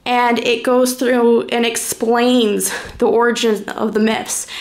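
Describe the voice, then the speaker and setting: A woman with a somewhat high-pitched voice presents a review in a casual vlog style. She speaks informally, working out her thoughts aloud as she goes along. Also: flat delivery, slow. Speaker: reviewer presenting a card deck. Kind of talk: casual first-impressions vlog chat.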